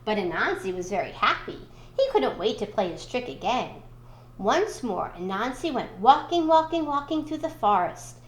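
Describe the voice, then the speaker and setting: A woman reading a children's storybook aloud in expressive narration. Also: a little high, normal speed, echoey room, slight background noise, low-quality recording. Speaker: school storybook reader.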